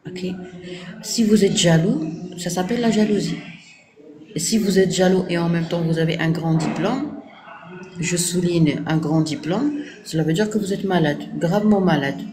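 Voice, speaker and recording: A woman's voice: on the low side, normal speed, crowd noise. A woman speaking French with an Arabic accent, Arabic as her first language, answering viewers in a firm, didactic live stream and checking agreement with "ok?". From Morocco. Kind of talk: casual live-stream talk.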